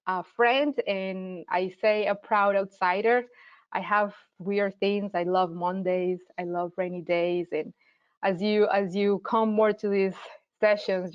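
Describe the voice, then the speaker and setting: Colombian-accented woman in her 30s, friendly workshop teacher, mid-pitched voice, slightly dull web-call sound. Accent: Colombian, from Medellín. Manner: friendly, explanatory, with frequent fillers and restarts. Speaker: marketing teacher and host. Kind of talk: live online workshop presentation.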